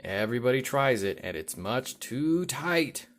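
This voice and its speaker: A man reading a children's picture book aloud, with rhythmic delivery and deliberate emphasis on the sound words. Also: mid-range voice, big pitch swings. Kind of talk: read-aloud children's storytelling.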